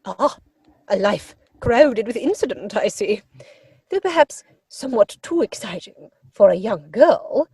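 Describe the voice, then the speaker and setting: A woman playing an imperious older aristocratic English aunt in a comic stage-play reading, with pompous, deliberate delivery. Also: mid-range voice, expressive, dark tone, breathy edge, no echo, full character voice. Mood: haughty, appraising.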